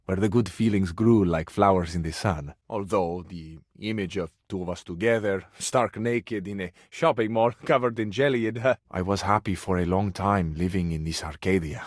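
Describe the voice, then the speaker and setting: A man's voice: deep voice, moderate pace, few pauses, dry recording, clean studio sound, lightly acted. A man playing a passionate, sensitive living cabinet in a video game, with an Italian accent and florid, theatrical, romantic delivery. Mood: nostalgic, tender. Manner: florid, dramatic, wounded romantic phrasing.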